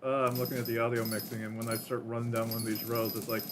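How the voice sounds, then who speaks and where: A man with a deep voice casually rambling to himself while streaming a game. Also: flat delivery, quick pace, noisy background. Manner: rambling, self-talking, counts under breath. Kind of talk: casual gameplay commentary.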